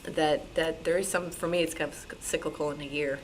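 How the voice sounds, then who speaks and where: A woman chats casually as a panelist at a hobby club meeting. Her voice sits fairly low and stays very even. Faint noise runs through the recording. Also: fairly quick. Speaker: panelist, old-time radio fan. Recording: noisy live meeting room recording.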